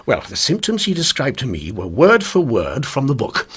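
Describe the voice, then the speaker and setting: An actor playing a shrewd, sardonic police inspector in a radio mystery, commanding and dryly sarcastic. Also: male voice, medium pitch, wildly swooping pitch, normal speed, fairly bright tone, breathy edge, heavily acted. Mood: intent, deducing. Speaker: actor in lead role. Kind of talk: radio mystery drama acting.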